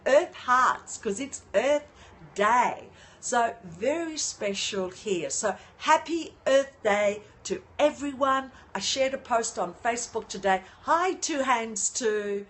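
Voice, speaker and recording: An older woman hosting a casual livestream from her apartment on her phone, often pausing to greet and thank viewers. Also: fairly high pitch, animated, a bit bright. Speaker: livestream host. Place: at home in an apartment.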